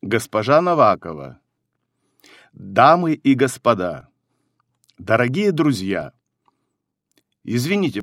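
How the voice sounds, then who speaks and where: A man reads language-course phrases at an unhurried, measured pace, each one spoken carefully and clearly. His intonation moves up and down a lot from phrase to phrase, and the recording is close and dry with no room sound. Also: medium pitch, a bit bright, dry recording. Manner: deliberate, carefully enunciated with pauses between phrases. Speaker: phrasebook reader.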